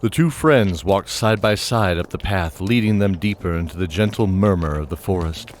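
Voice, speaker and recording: A man's voice: low voice, normal speed, a little hiss or hum. A man reading scripted narration for a fantasy audio drama in a descriptive storytelling manner.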